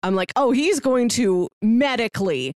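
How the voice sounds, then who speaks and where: A woman's voice: fairly high pitch, animated, normal speed, darkish tone, smooth, no room sound, clean studio sound. A woman co-hosting a podcast, talking through films in a casual, conversational way. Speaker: podcast co-host. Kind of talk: casual film review chat.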